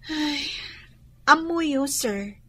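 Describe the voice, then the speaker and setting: A young woman acting in an Ilocano radio drama as a dutiful, guarded and deferential employee, with a husky voice. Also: high voice, animated, drawn-out words, pushed and bright, exaggerated voice. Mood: shy, deferential.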